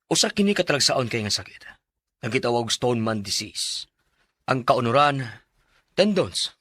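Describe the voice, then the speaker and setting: A serious bisaya doctor in radio drama, forceful bright voice, dry sound, explains carefully. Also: male voice, medium pitch, very animated, no echo. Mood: grave. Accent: Filipino, Bisaya. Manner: measured, explanatory. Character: grave, explanatory male doctor. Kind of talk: Filipino radio drama acting.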